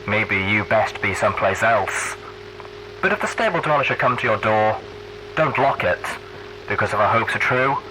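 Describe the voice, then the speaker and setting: Man acting a deep-voiced, solemn wasteland radio DJ. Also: background noise, lightly acted. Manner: folksy, solemn preaching. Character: earnest pony radio DJ addressing wasteland listeners as children. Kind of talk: post-apocalyptic radio monologue.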